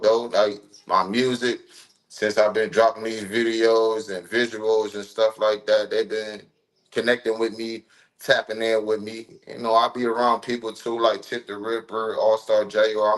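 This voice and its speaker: A man's voice: on the low side, steady tone, moderate pace, low-quality recording. Laid-back, slangy man in his thirties. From Ohio, USA. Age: 30s. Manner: laid-back, slangy, rambling with many fillers. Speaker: rapper guest. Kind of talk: casual podcast chat.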